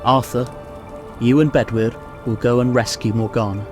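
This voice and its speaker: A man's voice: mid-range voice, fairly even intonation, moderate pace, natural voice. A man playing a seasoned, commanding knight with a wry, authoritative manner in a radio play. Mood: grim, commanding. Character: seasoned, dry, commanding male knight and mentor. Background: music.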